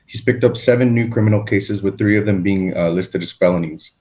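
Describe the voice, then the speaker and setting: Low-voiced male prosecutor, halting and respectful. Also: darkish tone. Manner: halting, self-correcting, respectful. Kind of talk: courtroom argument to a judge.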